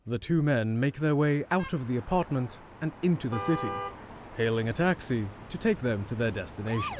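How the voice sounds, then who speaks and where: A man narrating a scripted fantasy audio drama in a measured, dramatic storyteller manner. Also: mid-range voice, very animated, background noise. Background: music.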